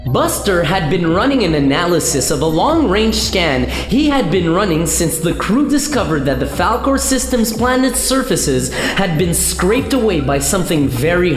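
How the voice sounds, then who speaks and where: Radio play narrator, female, animated delivery, heavy reverb over music. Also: on the low side. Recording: echoey long reverb, music behind.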